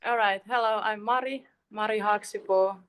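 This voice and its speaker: A young Finnish woman with a Finnish accent, a performance poet speaking calmly and reflectively over a video call. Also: medium pitch, moderate pace, clear, resonant tone. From Eastern Finland. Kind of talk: spoken introduction and poetry reading.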